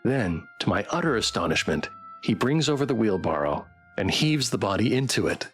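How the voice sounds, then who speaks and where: A man's voice: fairly low pitch, sing-song, moderate pace, fairly bright tone. A man reading a visual novel aloud as dramatic scripted narration. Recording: music behind.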